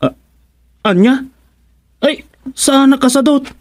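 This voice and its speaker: A man's voice: extremely high pitch, heavily acted. A man acting as a hesitant, flustered village councilman who stammers, in a radio comedy drama. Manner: halting, stammering.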